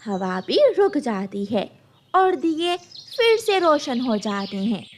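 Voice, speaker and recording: A woman narrates a children's cartoon tale in a high voice. Her delivery is slow, with lively rises and falls in pitch. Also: slight background noise. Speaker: storyteller.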